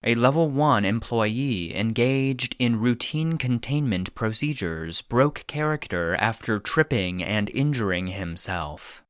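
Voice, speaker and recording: An impassive archive narrator. The delivery is slow, measured and clinical, in a medium-pitched voice recorded very dry and close. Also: male voice, expressive, smooth, dry recording. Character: formal, impassive database narrator reading secret records. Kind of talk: scripted deadpan narration.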